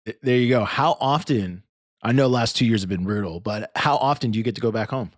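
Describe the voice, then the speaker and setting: A man's voice: mid-range voice, fast, no room sound, clean studio sound. A man hosting a podcast interview in a casual, chatty, effusive and informal way. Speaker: podcast host.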